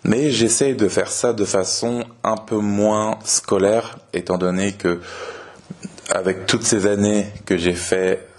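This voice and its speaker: A young man from France with a very low voice hosts a podcast. He talks slowly and plainly for learners. His delivery is relaxed and unhurried, with frequent hesitations. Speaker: podcast host. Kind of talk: slow clear podcast talk for learners.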